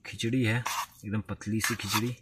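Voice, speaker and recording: Low-voiced man, casual vlogger. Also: little pitch movement, normal speed. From India. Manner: informal, narrates own actions. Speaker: solo camping vlogger. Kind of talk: casual chat to viewers. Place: at a forest campsite. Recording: distant mic, faint noise, small clicks.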